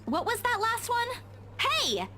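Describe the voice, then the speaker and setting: An actress playing a spirited, animated young woman in a visual novel game, exclamatory and quick to protest. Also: very high voice, slight background noise, exaggerated voice. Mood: indignant. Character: spirited, indignant young Filipina woman, polite to strangers. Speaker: video game character. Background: music.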